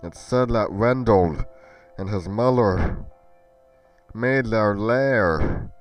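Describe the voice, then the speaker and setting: Casting: male actor as troubled, earnest roleplay adventurer. Low-pitched, slow, theatrical self-questioning, music underneath. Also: no room sound, muffled recording. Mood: uneasy, determined. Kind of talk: dramatic Minecraft roleplay acting.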